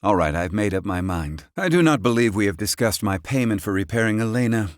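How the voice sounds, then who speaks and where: A dry, close-sounding studio voice of a proud old fantasy inventor performed by a man, pitched low. He is gruff and curt, and talks down to people in lofty, old-fashioned scholarly words, as a video game character. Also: animated, brisk, no room sound, lightly acted. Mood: lecturing, haughty. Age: older. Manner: haughty, curt, archaic erudite phrasing.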